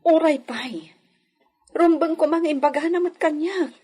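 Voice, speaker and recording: An actress playing a hurt, trusting young lady of a hacienda household in an Ilocano radio drama, her voice slightly breathy. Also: sits high, a little slow, mellow. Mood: hurt, questioning.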